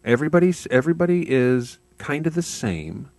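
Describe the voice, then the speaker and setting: A man from Canada in casual conversation, animated and often stumbling over or repeating his words. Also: mid-range voice, fairly quick, no room sound.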